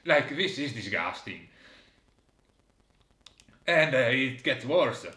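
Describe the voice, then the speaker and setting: A man speaking as a comments on a game in an exasperated, sarcastic tone. His delivery is halting and hesitant, at an average pitch. The room echo is clear around his voice. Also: big pitch swings, sharp tone. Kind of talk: casual critical game commentary, reading out dialogue. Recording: roomy, echoey voice recording.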